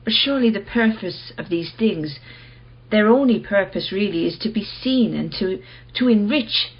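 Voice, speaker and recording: An actress playing an earnest, quietly challenging woman in a radio play, speaking in a measured, persistent, questioning manner. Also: mid-range voice, animated, husky, dull audio.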